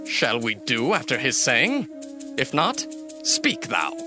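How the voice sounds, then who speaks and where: A man's voice: medium pitch, wildly swooping pitch, slow. An actor playing a young rebel prince who gives commands to his counselors in a dramatized scripture reading. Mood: commanding. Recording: music bed behind voice.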